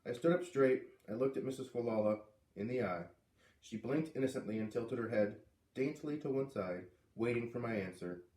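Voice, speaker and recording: A man reading a children's book aloud, expressively, with dramatic pauses on key words. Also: mid-range voice, expressive, warm tone. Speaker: read-aloud reader. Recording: echoey room, distant microphone.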